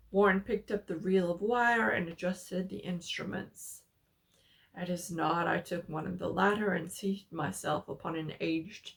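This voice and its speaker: A woman narrates a horror story at a very slow pace. Her voice is lowish and full of creak, and her delivery is solemn and deliberate. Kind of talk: dramatic reading of a horror story.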